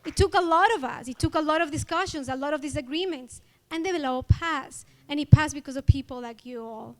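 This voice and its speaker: A woman from Ecuador with an Ecuadorian Spanish accent, giving an earnest, grateful award acceptance speech with pauses and fillers. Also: high voice. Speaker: award honoree.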